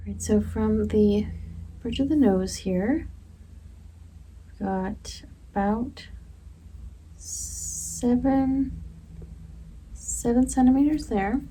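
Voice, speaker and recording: A woman speaking softly in an ASMR roleplay as a beauty technician, gently murmuring step by step and often slipping into a breathy whisper. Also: on the high side, extremely slow, long pauses, a little hiss or hum, rough recording. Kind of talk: ASMR roleplay, soft-spoken with whispered stretches.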